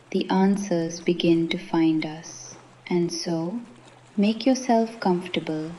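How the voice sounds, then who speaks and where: A woman gently guiding a meditation in a soothing manner, with a soft voice that turns breathy at times and frequent pauses. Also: fairly low pitch, highs cut off, a little hiss or hum. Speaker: meditation guide. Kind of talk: guided meditation narration.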